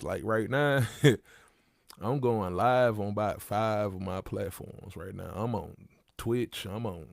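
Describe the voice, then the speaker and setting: A man in his thirties with a low, gravelly voice, talking casually on his livestream. He leans on slang and circles back to repeat his points. The mic is close and the sound is dry. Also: darkish tone, no echo. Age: 30s. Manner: gravelly, conversational, repeats himself, street slang. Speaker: livestream host.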